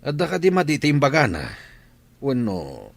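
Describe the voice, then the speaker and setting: A man's voice: mid-range voice, huge pitch swings, moderate pace, creaky, no echo. A man playing a weary, reproachful husband and father in a radio drama, with an Ilocano accent.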